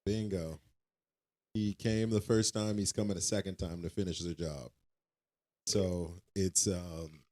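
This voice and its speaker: A man's voice: on the low side, darkish tone. A man co-hosting a podcast, asking guiding questions and answering with short, encouraging affirmations. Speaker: podcast co-host. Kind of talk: casual chat.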